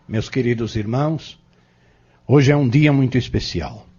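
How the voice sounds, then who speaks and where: A man's voice: medium pitch, a little slow, a little mellow, breathy edge. An actor playing a solemn, devout man giving a formal speech to a gathering in a radio drama. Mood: solemn, grateful. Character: solemn, devout male orator addressing a gathering. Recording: dull lo-fi radio drama, music behind.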